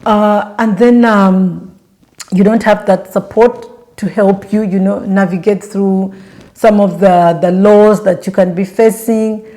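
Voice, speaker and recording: A woman's voice: medium pitch, steady tone, slow, mellow. A young Ugandan woman with a Ugandan accent, vlogging in casual chat to camera and rambling through restarts and fillers while she asks her viewers direct questions.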